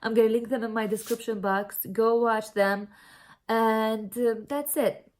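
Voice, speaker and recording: A woman's voice: on the high side, steady tone, unhurried, a little mellow. A young woman from Syria with a Syrian Arabic accent, chatting casually and personally to viewers as she gives a makeup tutorial. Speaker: beauty vlogger.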